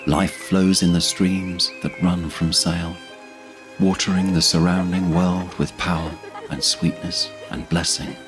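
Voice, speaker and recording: Deep, slow-paced male fantasy narrator. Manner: solemn, measured storytelling.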